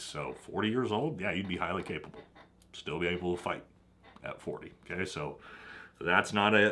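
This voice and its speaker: A man's voice: deep voice, pushed and bright. A man giving a blunt, emphatic monologue to viewers, often checking in with "okay?" and "right?". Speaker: commentator on military draft.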